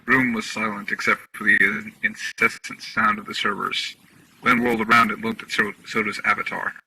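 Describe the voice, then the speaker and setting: A man with a gravelly voice reading scripted story narration as narrator in a drama. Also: fairly low pitch, little pitch movement, moderate pace, sharp tone. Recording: distant mic with background noise.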